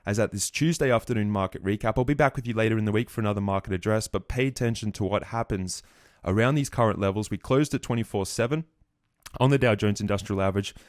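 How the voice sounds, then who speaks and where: A man giving a market recap over his trading charts, a bit croaky, walking through trades confidently. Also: lowish voice, darkish tone, no room sound, studio quality. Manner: fast, confident chart walkthrough. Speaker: trading educator.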